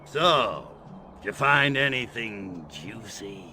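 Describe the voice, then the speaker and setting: A man playing a cocky, lecherous little alien invader in a video game, with a gravelly voice and brash, slangy wisecracks. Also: lowish voice, expressive, takes it slowly, sharp tone, slight background noise, full character voice. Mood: dry, smug. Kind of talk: video game cutscene voice acting.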